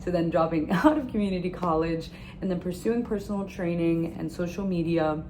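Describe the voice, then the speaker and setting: A young woman from Egypt tells her own story in a candid, chatty way. Her voice sits low. She drops emphatic little asides as she goes. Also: a little fast, mellow, slight background noise, rough recording. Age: young adult. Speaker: gym owner and personal trainer. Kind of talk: personal storytelling vlog.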